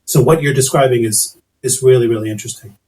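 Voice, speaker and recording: A man's voice: medium pitch, fast talker, a little mellow. A man hosting a podcast, asking long, thoughtful, winding questions of his guest. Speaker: podcast host.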